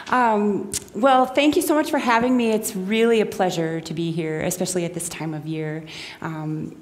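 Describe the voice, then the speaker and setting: A middle-aged woman from Minnesota giving an informal talk to a gathering as a guest speaker, unhurried and conversational, with many ums and restarts. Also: medium pitch, fairly even intonation. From Minnesota, USA. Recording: long reverb of a hall.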